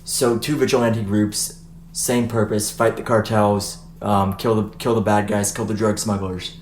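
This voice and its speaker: Chatty young man, low voice, echoey room. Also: little pitch movement, unhurried, darkish tone. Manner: loose, chatty, many fillers and restarts. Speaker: podcast host. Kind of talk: casual podcast chat. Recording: echoey room, faint noise.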